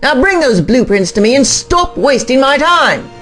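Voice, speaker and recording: Woman as an imperious boss, raised pitch, lively intonation, clipped, impatient, commanding, audio drama. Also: moderate pace, music in the background. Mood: irritated.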